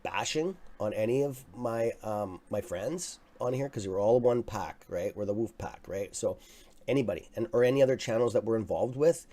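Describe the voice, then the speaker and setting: A man with a raspy voice hosting a live stock market stream, talking casually and excitably to his viewers. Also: fairly low pitch, moderate pace. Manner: excitable, swears, talks to chat as "guys". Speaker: livestream stock trading host.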